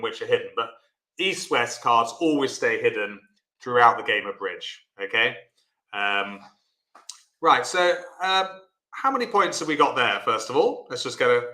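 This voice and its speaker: A man teaching an online bridge lesson, chatty and encouraging, explaining step by step in a loud voice. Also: medium pitch, roomy sound.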